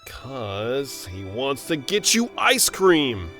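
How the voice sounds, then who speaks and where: A man's voice: mid-range voice, sing-song, very slow, over music. A man playing a proud, stubborn echidna guardian in an audio drama, with a defensive manner. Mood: evasive.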